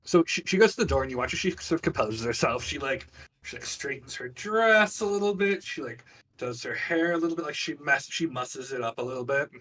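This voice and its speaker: A man running a tabletop game as game master, improvising narration in animated, conversational storytelling. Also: medium pitch, brisk.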